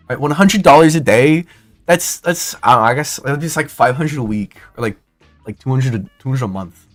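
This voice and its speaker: A man in his twenties streaming casual commentary, rambling and excitable with many restarts and fillers. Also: mid-range voice. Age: 20s.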